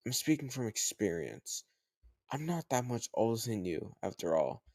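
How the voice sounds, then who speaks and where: A man playing a teasing, slightly older home tutor who gently chides the listener in a conversational, intimate roleplay audio drama. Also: mid-range voice, sing-song, normal speed, no echo. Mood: gently chiding.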